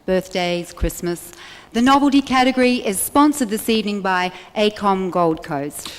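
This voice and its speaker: A woman's voice: mid-range voice, normal speed. A woman presenting a song contest, reading out winners and prompting applause. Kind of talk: stage announcement. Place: arts centre stage. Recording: long hall reverb with faint crowd.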